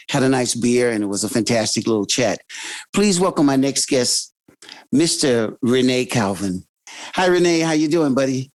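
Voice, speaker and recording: A man hosting a podcast, chatting casually and kindly with a guest, often restarting his sentences. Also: medium pitch. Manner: friendly, halting, restarts often. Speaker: podcast host. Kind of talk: casual interview chat.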